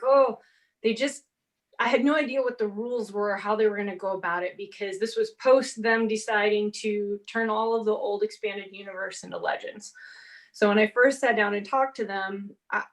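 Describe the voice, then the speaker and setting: A woman in her forties whose first language is Portuguese, telling an animated story on a convention panel and acting out the exchanges she quotes. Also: medium pitch. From half Brazilian, grew up speaking Portuguese. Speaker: panelist author. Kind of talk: casual panel talk.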